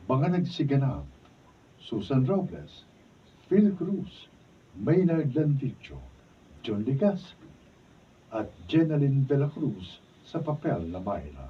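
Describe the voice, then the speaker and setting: A man with a Filipino accent reading scripted narration and closing credits as a radio drama announcer, measured and formal. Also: mid-range voice, sing-song, husky. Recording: distant mic, dull noisy room recording.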